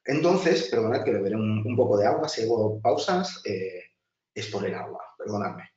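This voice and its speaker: Chatty instructor, distant roomy audio. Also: male voice, lowish voice, big pitch swings, normal speed, darkish tone, highs cut off. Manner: chatty, many restarts and filler, addresses class directly. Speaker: design instructor. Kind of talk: webinar lecture over slides.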